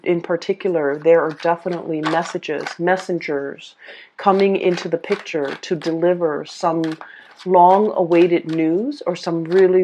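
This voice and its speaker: A woman tarot reader speaking casually and off the cuff to viewers, often pausing and repeating herself as she searches for words. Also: fairly low pitch, darkish tone, slight background noise. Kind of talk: casual, improvised tarot reading addressed to viewers.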